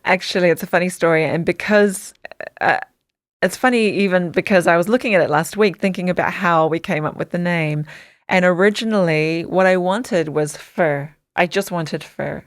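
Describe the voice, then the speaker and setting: Low-voiced woman from Samoa. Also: normal speed, dry recording, studio quality. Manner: earnest, detailed, with frequent restarts. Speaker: podcast guest, dog skincare founder. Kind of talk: interview storytelling.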